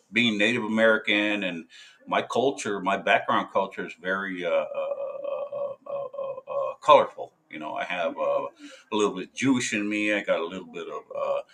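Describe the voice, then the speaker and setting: A veteran man talking to camera in a casual monologue, halting and hesitant, full of filler sounds and restarts, blunt and profane. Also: sits low, fairly bright tone. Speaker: military veteran.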